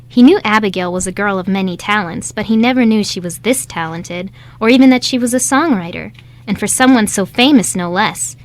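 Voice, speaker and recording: A woman reading scripted story narration as the narrator of a radio play. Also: mid-range voice.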